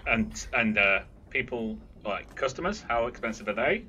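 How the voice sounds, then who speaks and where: Very bright-voiced joking male player. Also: medium pitch, expressive, moderate pace, dull audio, a little hiss or hum. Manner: playful, teasing. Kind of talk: casual chat.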